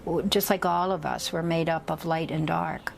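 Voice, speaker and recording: A woman's voice: low-pitched, fairly even intonation, moderate pace, creaky, a little hiss or hum. A woman speaking earnestly and thoughtfully for a nonprofit, with small restarts. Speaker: nonprofit representative. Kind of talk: interview remarks.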